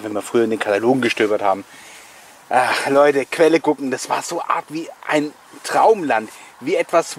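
A middle-aged man with a husky, slightly rough voice at an average pitch hosts a collectors' video. He talks casually and fondly about old things, chatting and joking as he reminisces. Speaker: host of a collectors' video series. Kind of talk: casual nostalgic catalogue commentary.

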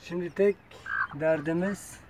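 A man's voice: high-pitched, steady tone, slow, very noisy. Chatty man filming his hens outdoors. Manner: chatty, coaxing his hens, pleads with viewers to subscribe. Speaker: poultry keeper filming his chickens. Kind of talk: casual vlog talk to viewers. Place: outdoor yard with chickens.

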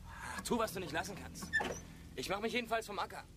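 A man's voice: high-pitched, fairly quick, lightly acted. A young man playing a callous, crude teenage boy in a radio drama, talking in brash slang. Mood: cocky, dismissive. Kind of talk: German radio drama acting. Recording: loud noise behind, vehicle ambience.

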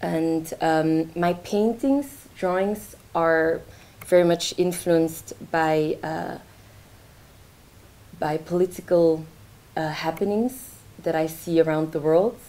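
A young woman from Belgium presenting her artwork at a launch event in a lecture theatre, speaking haltingly with many fillers. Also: low voice, dark tone. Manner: hesitant, many fillers, gestures while talking. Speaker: artist presenting her work. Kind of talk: informal talk at a launch event.

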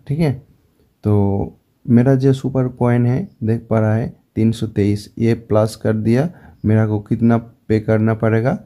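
Brief: Bengali-accented man, slow voice-over, very dark and mellow tone, lowish pitch. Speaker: motovlogger. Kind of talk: recorded voice-over explaining an app.

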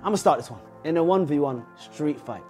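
A man in his twenties, a native Somali speaker, chatting and bantering through a guessing game with excitable energy. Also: a little high, brisk, faint music behind. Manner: animated, bursts into loud excited laughter. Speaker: guest player. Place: indoor lounge room.

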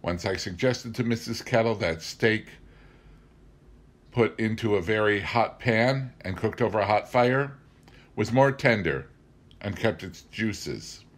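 A middle-aged man narrating a memoir as a scripted reading, with measured, deliberate phrasing. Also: lowish voice. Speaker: amateur audiobook reader.

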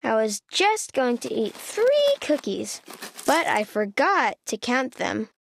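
High, childlike woman reading a storybook. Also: very animated, slow, vocal fry, a little hiss or hum. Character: earnest, forgetful little boy critter making excuses. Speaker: storybook narrator. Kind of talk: expressive children's read-aloud in a young child character's voice.

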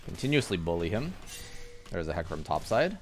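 A man casually explaining his gameplay to viewers as he plays, relaxed and instructive. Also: low voice, fairly quick, background noise. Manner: instructive, relaxed, talks over his own play.

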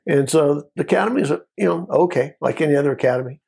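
Gravelly, husky older American man. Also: on the high side, fast, a little mellow. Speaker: guest, former Border Patrol agent. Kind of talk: casual interview storytelling.

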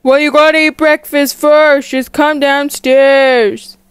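A woman's voice: high voice, flat delivery, slow pace, dry recording, clean studio sound. A woman voicing a caring, nagging dad in a cartoon game roleplay, reassuring and mildly insistent. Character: caring, nagging dad of a high-school girl.

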